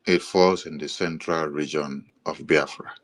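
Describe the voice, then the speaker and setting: A man with a Nigerian accent giving an explanatory monologue, listing items in a steady, repetitive rhythm with occasional hesitations. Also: very deep voice, unhurried. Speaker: commentator presenting a map.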